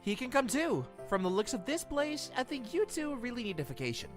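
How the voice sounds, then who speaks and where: A man's voice: very high voice, very animated, fairly quick, music in the background. A young man playing a kindly, eager, slightly awkward college student in a fan audio drama, earnest and friendly. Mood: sympathetic. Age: young adult.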